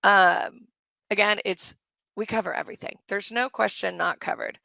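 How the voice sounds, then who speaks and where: A middle-aged woman with a slightly hoarse voice, hosting a live webinar in a chatty, enthusiastic way and answering questions from the chat. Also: mid-range voice, moderate pace, pushed and bright, dry recording. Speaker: course host on a live webinar. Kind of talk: live webinar sales pitch and Q&A.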